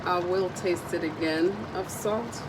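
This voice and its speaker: A woman with a raspy, slightly low voice, still getting over a cold, chats loosely through a cooking demonstration, full of ums and side remarks. She is recorded in a home kitchen, with loud background noise under her voice. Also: slow. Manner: chatty, meandering, with frequent ums. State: recovering from a cold. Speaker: cooking video host.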